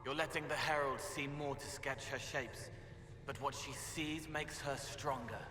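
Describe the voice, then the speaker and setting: An actor voicing a gentle, odd spirit in a young man's form who speaks softly and calmly in a video game. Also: mid-range voice, unhurried, very noisy, lightly acted. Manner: soft, calm, reassuring. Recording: echoey space, loud game ambience.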